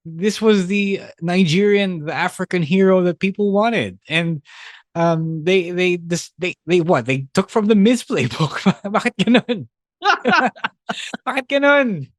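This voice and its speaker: A man with a Filipino accent co-hosting a podcast, chatting casually in mixed English and Tagalog, animated and laughing often. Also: high voice, a little slow, no room sound, clean studio sound. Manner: animated, laughs often, restarts mid-sentence. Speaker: podcast co-host.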